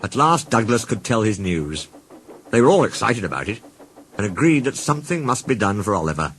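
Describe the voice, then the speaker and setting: A man's voice: lowish voice, very animated, fairly quick, a bit bright, low-quality recording. A man reading a scripted children's story as its narrator.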